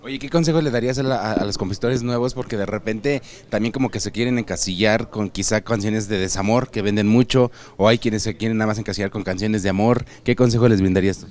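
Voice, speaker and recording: Young man, Mexican, quick talker, interviewer, friendly slangy questions, handheld mic. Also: lowish voice, a little mellow. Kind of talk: casual entertainment interview. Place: busy expo booth indoors.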